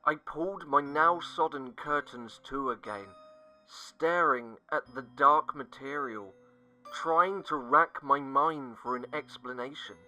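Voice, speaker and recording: A man narrating a scripted first-person horror story, dramatic and sinking to hushed tones at the tense moments. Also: medium pitch, slow, rough recording. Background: faint music.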